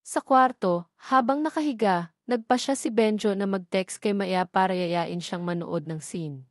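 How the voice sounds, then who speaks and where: Female storyteller, mid-pitched voice, dry studio-like sound, scripted narration. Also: a little slow, no room sound. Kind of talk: scripted story narration, reading dialogue herself.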